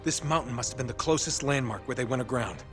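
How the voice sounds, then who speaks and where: An American actor playing a cocky, wry treasure hunter in a casual, conversational way for a video game cutscene. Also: male voice, mid-range voice, quick pace, lightly acted. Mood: excited. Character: cocky, wisecracking male treasure hunter, adaptable and improvising. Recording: cinematic music behind the voice.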